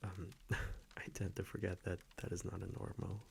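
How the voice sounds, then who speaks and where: A man playing a gentle, confiding vampire boyfriend in an intimate ASMR roleplay, speaking hushed and hesitant and often slipping into a whisper. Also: low-pitched, level tone, moderate pace, gravelly, husky, exaggerated voice. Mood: sheepish, confiding.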